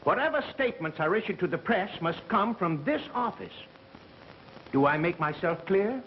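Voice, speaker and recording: An actor playing a pompous, blustering company president who speaks like a formal orator, in a 1930s mystery film. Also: male voice, high-pitched, animated, moderate pace. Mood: pompous, stern. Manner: oratorical, self-important, formal. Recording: echoey, muffled, hissy 1930s film soundtrack.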